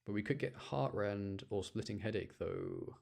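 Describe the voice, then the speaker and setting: A man's voice: on the low side, wildly swooping pitch, normal speed, creaky, a little hiss or hum. A man giving casual, self-deprecating live commentary as he streams a video game. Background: loud game music.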